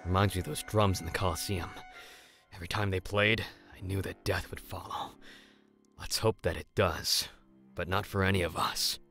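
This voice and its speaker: A man voicing a calm, battle-hardened, pragmatic commander in a measured, stern way for a fantasy visual novel. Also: low voice, faint music behind. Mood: somber, firm. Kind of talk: character voice in visual novel read-aloud.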